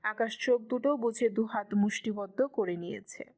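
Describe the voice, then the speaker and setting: Casting: female narrator for scripted fiction, slightly high register, storytelling delivery. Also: moderate pace, darkish tone.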